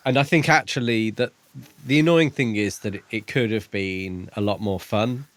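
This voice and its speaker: Lower-voiced man in reflective podcast chat. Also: moderate pace, fairly bright tone, no room sound. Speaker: podcast co-host. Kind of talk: casual book discussion.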